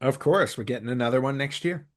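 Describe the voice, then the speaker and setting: A man's voice: mid-range voice, fast. A man hosting a podcast in a casual, conversational commentary style. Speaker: podcast host. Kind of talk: casual chat, countdown commentary.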